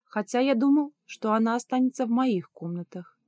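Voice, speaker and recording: A woman's voice: fairly high pitch, lively intonation, moderate pace, a little mellow, no echo, natural voice. A woman reads as a young male prince in a fantasy audiobook. He is nervous and insecure in love, blurting out his words in a close, dry recording. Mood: hesitant.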